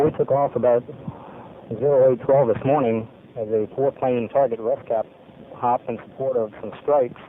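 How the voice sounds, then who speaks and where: A man, a navy pilot, giving a formal spoken debrief of a mission in measured, clipped military phrasing. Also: medium pitch, a little hiss or hum. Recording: tinny lo-fi archival radio recording.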